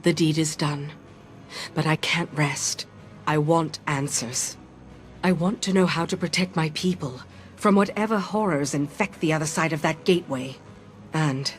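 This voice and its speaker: A woman playing a stern, resolute warrior leader with a terse delivery in a video game. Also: sits low. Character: stern, grieving female warrior leader of her people. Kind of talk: dramatic game cutscene acting. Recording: music behind the voice.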